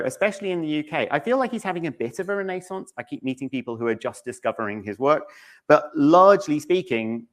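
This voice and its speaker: A man speaks as a presenter at a conference. His voice sits at a medium pitch, and he talks in a conversational way, dropping in wry, offhand asides as he addresses the room. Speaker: conference speaker, game studio narrative director. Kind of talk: public conference talk, conversational. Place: conference room in front of an audience.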